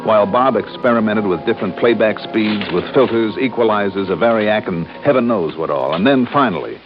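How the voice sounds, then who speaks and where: An actor playing a terse, commanding insurance investigator who narrates an old-time radio detective drama. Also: male voice, lowish voice, animated, brisk. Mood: measured narration. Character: hard-boiled, businesslike male insurance investigator. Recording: dull old radio recording with music.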